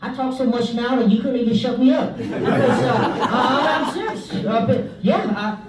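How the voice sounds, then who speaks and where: A man from South Vietnam, giving a halting church testimony full of fillers and joking asides. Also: very high-pitched, over a noisy crowd, very noisy. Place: church hall. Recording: echoey hall, mic from far off.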